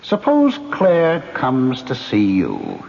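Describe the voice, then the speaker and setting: A man's voice: mid-range voice, huge pitch swings, takes it slowly, creaky, a little mellow, exaggerated voice. An actor playing a taunting male ghost who haunts a man, in a mocking, insinuating radio drama style. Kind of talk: 1970s radio drama acting. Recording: dull old radio broadcast with faint hiss.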